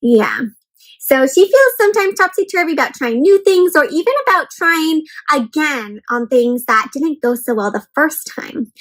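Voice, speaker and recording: A woman's voice: high-pitched, poor audio. A woman hosting an interactive read-aloud for children, speaking expressively and encouragingly, straight to her young listeners. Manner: animated, exaggerated expression with frequent questions to young listeners; addresses listeners directly as friends, encouraging. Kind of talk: interactive picture book read-aloud for kids.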